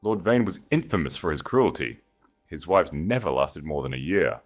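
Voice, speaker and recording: A man's voice: a bass voice, expressive, moderate pace, faint music behind. A man reading a scripted romance audiobook in a solemn, dramatic way. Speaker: narrator.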